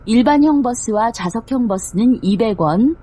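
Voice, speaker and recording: Mellow, formal woman making bus announcements. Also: a little high, animated, normal speed, slight background noise. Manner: polite, formal. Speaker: bus passenger-notice announcer. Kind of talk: scripted public announcement.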